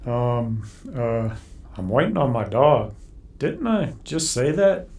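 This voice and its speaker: A man playing a gruff, weary drifter in a western radio drama, sardonic and folksy in his muttered delivery. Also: mid-range voice, very animated, slow pace, darkish tone. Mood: wry, baffled. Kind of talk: old-time radio western acting.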